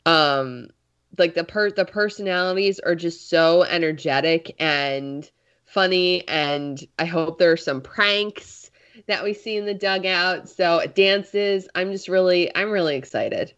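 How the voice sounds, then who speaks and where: A woman's voice: lowish voice, a little slow, fairly bright tone, smooth, muffled recording. A woman co-hosting a casual sports podcast who is congested, talking in a chatty, enthusiastic way. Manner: chatty and enthusiastic, trailing off in restarts. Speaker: podcast co-host.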